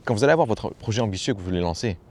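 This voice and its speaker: A man's voice: lowish voice, expressive, racing, darkish tone. A man giving a casual motivational talk as a personal development coach, familiar and slangy, hammering points home by repeating phrases.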